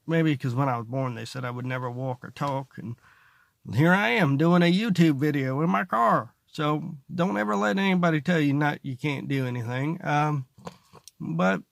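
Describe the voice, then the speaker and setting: Creaky-voiced man rambling with dry sarcasm. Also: on the high side, fairly bright tone, no echo. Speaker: stand-up comedian, vlogger. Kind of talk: casual comedic monologue to camera. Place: inside a parked car.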